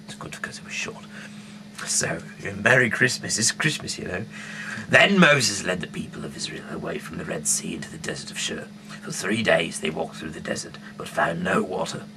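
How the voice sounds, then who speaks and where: A man with a deep, often hushed voice reads scripture aloud informally, with halting, casual asides. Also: creaky. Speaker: host reading the Bible aloud. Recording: noisy amateur recording with hum.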